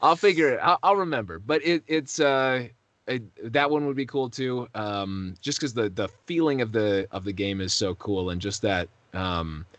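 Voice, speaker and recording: Male interviewee, voice actor, mid-pitched, rambling and self-deprecating, casual delivery, very dry recording. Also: moderate pace, a bit bright, dry recording. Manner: rambling, self-deprecating, hesitant while searching for words.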